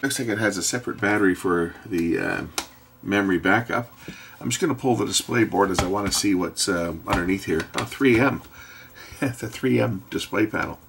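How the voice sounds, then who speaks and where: Deep, gravelly man thinking aloud. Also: moderate pace. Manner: thinking aloud, hesitant while working. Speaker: host repairing electronics. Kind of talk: casual repair commentary. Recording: background noise with handling clicks.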